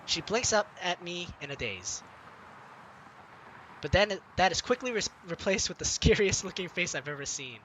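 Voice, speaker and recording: A man's voice: high-pitched, moderate pace, slight background noise, low-quality recording. A man hosting a let's play, reading game text aloud theatrically and commenting on it. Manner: animated, theatrical. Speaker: gaming video host. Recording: music behind the voice. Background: game music.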